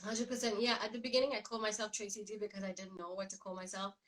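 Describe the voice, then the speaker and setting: A woman who grew up in Spain, a rapper chatting casually as an interview guest, animated and laughing often. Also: medium pitch, racing. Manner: animated, frequent likes and laughs.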